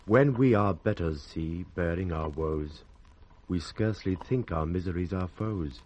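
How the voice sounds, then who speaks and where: A sorrowful young British nobleman in hiding. He speaks low and slowly, shaping each line of verse with measured reflection, as in a classical radio soliloquy. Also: a little mellow, lo-fi sound. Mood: sorrowful, reflective. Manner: measured, rhyming verse delivery. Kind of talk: 1960s Shakespearean radio soliloquy.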